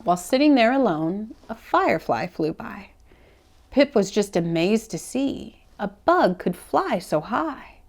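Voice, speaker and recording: A woman reading a rhyming children's storybook aloud as a read-aloud host, with expressive, deliberate phrasing. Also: mid-range voice, creaky.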